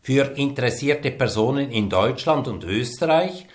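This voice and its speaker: A man's voice: medium pitch, expressive, normal speed, echoey room. A man with a Swiss accent, hosting a podcast and asking his guest questions in a friendly way. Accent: Swiss German. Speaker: podcast host. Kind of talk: podcast interview.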